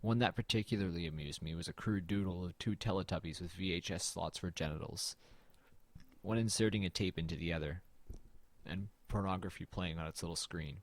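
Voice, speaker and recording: A man acts as a brooding male narrator of a first-person horror audio drama. His voice sits low, in a very dry recording. He tells it in a measured, uneasy way, as someone exploring a dead man's house. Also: no echo.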